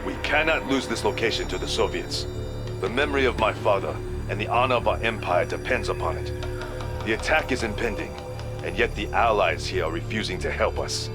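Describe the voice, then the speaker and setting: An actor playing a proud, vengeful young crown prince who gives formal, contemptuous mission briefings over music. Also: male voice, fairly low pitch, a little fast, close to an everyday voice. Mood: resolute. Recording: game cutscene audio over music.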